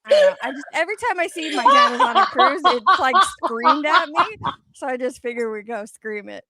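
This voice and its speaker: Woman, high pitch, lively intonation, exaggerated comment reading, casual co-host chat, dry close sound. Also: brisk, over a noisy crowd.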